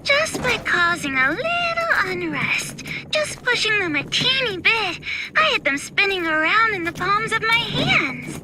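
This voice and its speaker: Tiny wicked little girl in a game, super high voice, slow n sullen, music behind. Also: sing-song, very noisy, exaggerated voice. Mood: smug, scornful. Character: small girl, sweet-sounding but wicked, scheming, weary. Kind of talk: video game cutscene acting.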